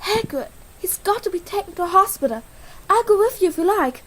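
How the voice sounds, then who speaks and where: A woman's voice: very high voice, animated, a little fast, fairly bright tone, low-quality recording. A woman playing an earnest, worried schoolgirl who speaks with urgent concern.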